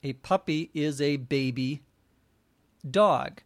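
A middle-aged man teaching English, telling a short story and then explaining words slowly and clearly, with dry little jokes. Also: fairly high pitch, dry recording. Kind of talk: scripted story and slow vocabulary explanation.

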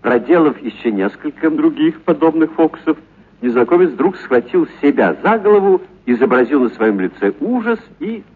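A man narrating a literary story for radio in an expressive, dramatic actor's delivery. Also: on the high side, moderate pace, slightly rough. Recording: muffled narrow-band radio recording.

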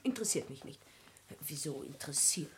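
A woman's voice: low voice, big pitch swings, brisk, a little hiss or hum. An actress playing a venomous, haughty upper-class woman in a classic radio play, speaking with cutting, precise emphasis. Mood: defensive.

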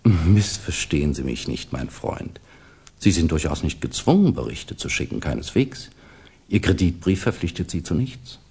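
A suave Russian official from a 1960s radio play. His voice is low and mellow with a husky edge. He swings through his phrases with smooth, calculated courtesy. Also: very animated, normal speed, exaggerated voice. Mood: smooth, insinuating. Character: suave, insinuating Russian official recruiting an agent. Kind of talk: 1960s German radio drama acting.

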